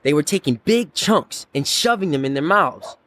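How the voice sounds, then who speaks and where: A shaken, foul-mouthed soldier in a zombie audio drama. He is blunt, with a fairly high, lively voice over faint vehicle noise. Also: normal speed. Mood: insistent, shaken. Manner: blunt, salty.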